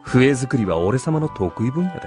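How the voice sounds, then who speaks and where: A swaggering older beast-man hunter acted by a man, teasing his pupil in rough masculine speech. His delivery leaps around in pitch like anime radio drama, over clearly audible music. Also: very animated, moderate pace, lightly acted. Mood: smug, teasing.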